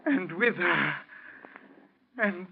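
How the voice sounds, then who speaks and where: An actor playing a sick, proud old Southern aristocrat in 1940s radio drama style, with formal, courtly speech. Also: male voice, high-pitched, lively intonation, very slow, gravelly, heavily acted. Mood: bitter, cursing. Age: older. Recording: dull, crackly old radio recording.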